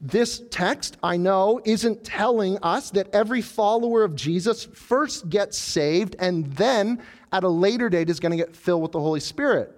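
A man preaching a sermon as pastor, animated and lively, acting out the proud voices of people in the story he tells. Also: high voice, moderate pace. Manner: animated preaching, voicing the proud tower builders.

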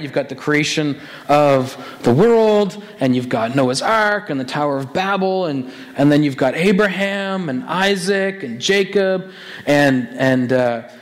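Male pastor, raspy and hoarse, fairly high voice, chatty sermon delivery full of restarts. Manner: conversational, animated with many restarts.

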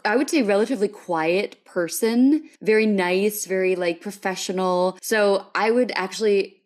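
A woman's voice: mid-range voice, normal speed, darkish tone. An American woman hosting a casual podcast interview in an enthusiastic, appreciative way. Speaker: podcast host.

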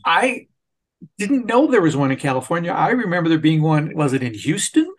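A man's voice: a little high, very animated, normal speed. A man hosting a conversational interview. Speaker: podcast host and interviewer.

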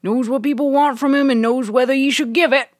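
A woman voicing an affectionate old male shopkeeper in a loud, folksy, familiar manner for an audio story. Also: high voice, fairly even intonation, fairly quick, dry recording, studio quality, pitched above the performer's own voice, natural voice. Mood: sly, approving.

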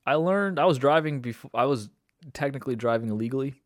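A man's voice: medium pitch, expressive, fast talker, dry recording, studio quality. A young man born in Indonesia chatting casually as a guest, rambling with fillers and restarts and laughing often. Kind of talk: casual conversation.

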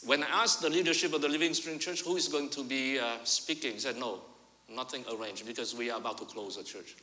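A male pastor tells a story from the pulpit. His voice is very bright and forceful, and it rings out with a long church-hall reverb. He swings from loud emphasis to soft, confiding asides. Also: mid-range voice, a little fast. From Vietnam. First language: Vietnamese. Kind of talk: sermon with personal story. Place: church sanctuary.

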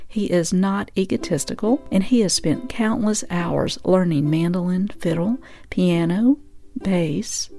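A woman's voice: fairly low pitch, dark tone. A woman from the United States reading scripted narration as the host of a radio show and podcast.

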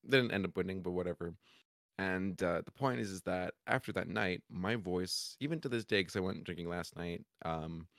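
A young man with a hoarse, raspy, cracky voice, rambling through a story with lots of fillers in a casual podcast chat. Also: sits low, moderate pace, a bit bright, dry recording, clean studio sound. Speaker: podcast co-host.

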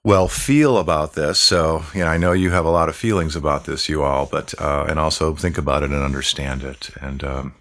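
A man hosts a reflective podcast in a calm, measured way. He often pauses with fillers and lets phrases draw out. His voice sits extremely low. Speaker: podcast host.

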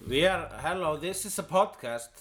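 A young Icelandic man with an Icelandic accent, co-hosting a comedy podcast in deadpan, joking chat. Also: a little high, moderate pace, soft background music. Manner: deadpan, jokey, lively sing-song bits.

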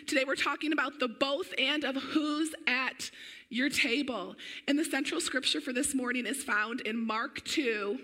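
A woman pastor preaching a sermon in an energetic, conversational way, her voice a little weak and often clearing her throat. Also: high voice.

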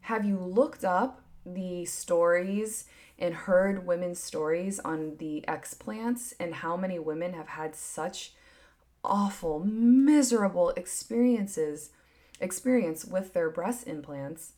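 A woman in her thirties chatting casually and candidly as a podcast host, swinging between emphatic bursts and hushed asides, with lots of filler words. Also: on the low side, takes it slowly. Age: 30s. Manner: frequent filler "like", candid and swearing. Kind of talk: casual opinion chat.

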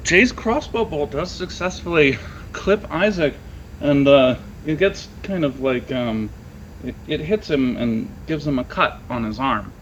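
A man's voice: a little high, a little slow, highs cut off, slight background noise. A man running a tabletop role-playing game, improvising narration in a casual, conversational way and reacting to his players. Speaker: game master.

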